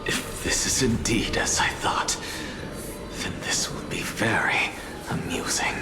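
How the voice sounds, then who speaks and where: A man voicing an aloof, curious, self-reliant scholar in a video game, musing to himself in a hushed, detached way. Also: lowish voice, slow, in a whisper. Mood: wry, intrigued. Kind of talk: scripted game voice acting, hushed soliloquy. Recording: background music under the voice. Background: music.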